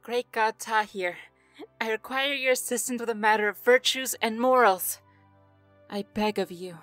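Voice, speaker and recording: A woman playing an earnest, formal high elf summoner in a fantasy audio drama, careful in her words. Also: moderate pace, a bit bright, no room sound, soft background music, lightly acted. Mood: earnest, pleading.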